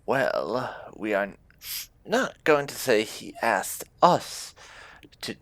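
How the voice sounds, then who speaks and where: Male gaming host, casual roleplay commentary, unhurried and musing, very wide swings in intonation. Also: fairly low pitch, very animated, fairly bright tone, no room sound.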